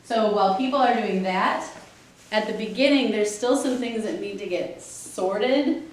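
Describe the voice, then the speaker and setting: A woman projects a big teacher voice across a crowd of volunteers. She is recorded from a distance in a very echoey hall, with clear background noise. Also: medium pitch, a little slow. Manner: loud teacher-style projecting voice. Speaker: mother organizing volunteers.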